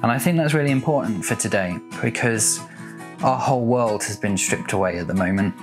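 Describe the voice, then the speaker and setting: A man's voice: on the low side, brisk, over music. A man in his thirties speaking hesitantly and without hurry in a casual devotional talk to camera, recorded in a small room at home. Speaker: church member presenting a devotional video.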